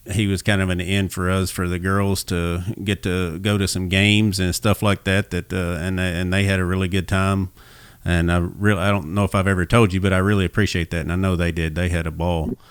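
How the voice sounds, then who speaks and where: A man's voice: low-pitched, smooth, dry recording. A man hosting a podcast in casual chat, often repeating his first words as he starts a sentence. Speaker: podcast host.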